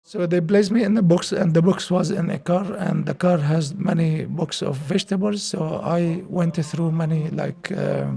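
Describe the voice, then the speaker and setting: High-pitched man with a Syrian accent. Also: vocal fry, mellow, husky. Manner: halting, frequent "you know". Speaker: interviewee, asylum seeker. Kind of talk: personal testimony.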